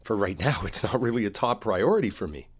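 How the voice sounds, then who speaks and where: A man acts out a frustrated boyfriend with a very low, husky voice. He speaks in halting, everyday phrases, as one side of an intimate audio roleplay argument with his partner. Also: expressive. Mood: exasperated, defensive.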